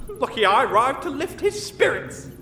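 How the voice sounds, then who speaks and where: An actor playing an earnest, timid and loyal fantasy companion, polite and halting with rustic phrasing, in a comic audio drama. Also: sits high, sing-song, a bit bright, faint music behind, heavily acted. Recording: roomy, distant mic with faint noise.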